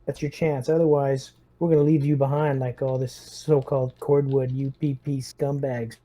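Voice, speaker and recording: A man's voice: medium pitch, little pitch movement, normal speed, warm tone, lightly acted. A man voicing a blunt, foul-mouthed Marine lieutenant rallying his squad in a tabletop roleplaying game. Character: gruff, rousing Marine lieutenant, loyal to his brothers in arms.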